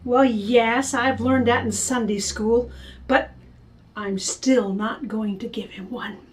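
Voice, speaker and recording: A woman's voice: a little high, steady tone, takes it slowly. A woman voicing an upset little girl who gets whiny and insistent. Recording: distant from the mic.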